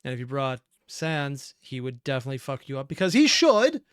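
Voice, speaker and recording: A man's voice: medium pitch, sing-song, a little fast, music in the background. A man giving casual reaction commentary as a video host, emotive and often exasperated.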